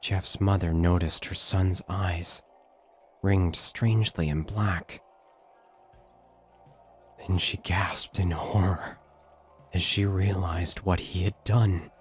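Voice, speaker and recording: A man's voice: sits low, steady tone, slow pace, pauses often, slightly rough, soft background music. A man narrating a scripted horror story as the narrator of an audio drama.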